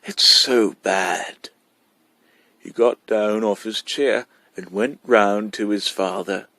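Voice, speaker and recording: Slow, low-voiced man reading a story. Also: fairly even intonation, no room sound. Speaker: amateur story reader. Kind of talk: scripted narration, reading a short story aloud.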